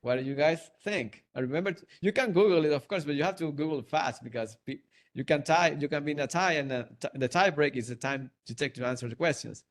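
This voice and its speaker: Venezuelan man hosting, high and playful. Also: quick pace. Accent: Venezuelan Spanish. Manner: playful, laughs often, hums and sings along. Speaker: webinar host. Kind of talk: hosting a live trivia webinar.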